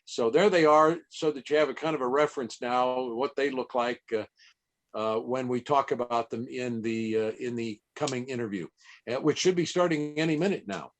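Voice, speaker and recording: A middle-aged American man hosting an online event in a casual, chatty, halting way on a video call. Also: medium pitch. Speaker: event host and interviewer.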